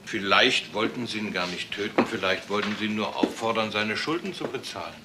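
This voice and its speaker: An actor playing a dryly musing police detective who probes and thinks aloud in a crime radio play. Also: male voice, fairly low pitch, brisk, lightly acted. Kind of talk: German crime radio drama acting. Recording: faint background noise.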